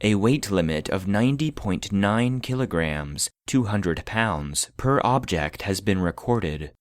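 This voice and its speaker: A man playing an impassive database voice, reading classified files in a formal, measured, clinical manner for a scripted audio drama. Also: on the low side, lively intonation, no room sound, clean studio sound. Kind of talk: scripted narration of clinical reports.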